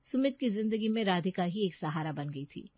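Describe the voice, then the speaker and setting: A woman's voice: mid-range voice, animated, normal speed, no room sound, lo-fi sound. A woman reading scripted storytelling narration as the narrator.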